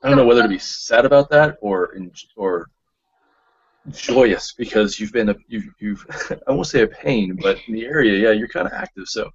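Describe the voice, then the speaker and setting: Husky teasing male co-host. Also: lowish voice, expressive, moderate pace, lo-fi sound. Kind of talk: casual talk show banter.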